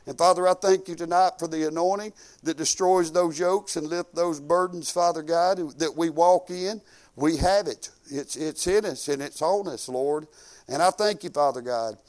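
Man, fifties, Southern US accent, high voice, informal preaching, folksy, emphatic, loud-and-soft delivery. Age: 50s. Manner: folksy and conversational, peppered with praise exclamations; emphatic, swinging between quiet asides and loud declarations. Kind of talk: informal sermon with personal anecdotes and prayer.

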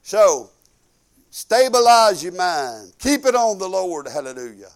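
A man in his fifties with a Southern US accent preaching informally, folksy and conversational, swinging emphatically between quiet asides and loud declarations. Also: high voice, big pitch swings, a little slow. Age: 50s. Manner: folksy and conversational, peppered with praise exclamations. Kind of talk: informal sermon with personal anecdotes and prayer.

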